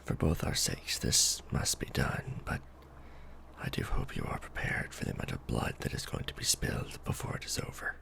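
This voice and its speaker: A man playing a courtly, protective, brooding vampire lord in a soft, formal ASMR romantic roleplay. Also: very deep voice, big pitch swings, vocal fry, exaggerated voice. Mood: grave, apologetic. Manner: formal, archaic wording, slow and hushed.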